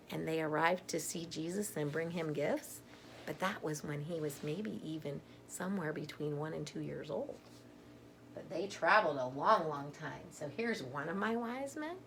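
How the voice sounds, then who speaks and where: A woman's voice: on the low side, vocal fry, fairly bright tone, slight background noise. A woman storyteller reading a children's story aloud with simple, emphatic phrasing and informal asides to young listeners.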